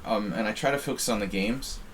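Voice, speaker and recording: A man's voice: on the low side, brisk, a little hiss or hum, poor audio. An American man casually chatting while streaming online chess, rambling and self-deprecating as he reads the chat. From United States.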